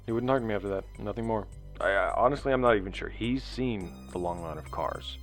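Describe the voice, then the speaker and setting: A man acts an earnest, weary investigator in a sci-fi audio drama. His low voice has some gravel in it, and he talks haltingly, as if telling his findings to a recorder. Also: faint music behind, lightly acted. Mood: weary, frustrated. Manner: halting, conversational, repeats words. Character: earnest, weary male investigator chronicling outcasts' histories. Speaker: narrator and interviewer. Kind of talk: audio drama narration and dialogue.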